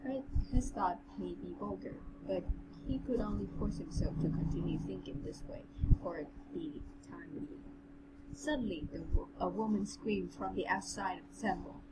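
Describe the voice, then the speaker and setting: A woman with a mid-pitched voice co-hosts a read-along of a novel. She is informal and playful in her reading and commentary. The home recording has clear background noise. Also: normal speed. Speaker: co-host and reader. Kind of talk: novel read-aloud with casual, joking commentary.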